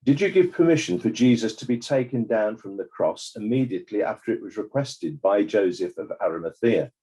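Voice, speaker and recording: An actor playing a formal court examiner, speaking in a measured, deliberate way in a scripted dramatized inquiry. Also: male voice, fairly low pitch, animated, dark tone, husky, poor audio. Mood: probing, neutral. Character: formal, probing male inquest examiner.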